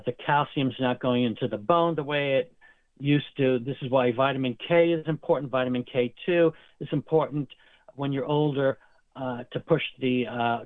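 A man's voice: mid-range voice, dry recording. Man on a tinny phone line. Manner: explains medical terms at length. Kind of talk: interview explanation.

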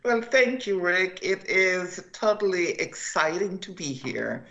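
An older woman, originally from Costa Rica, is interviewed about her book and talks in a chatty, anecdotal way. Her voice has a gravelly fry. The sound is slightly muffled and lo-fi. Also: on the low side, expressive, slow, bright, forceful tone. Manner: chatty, storytelling, repeats herself. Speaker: guest author. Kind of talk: interview conversation.

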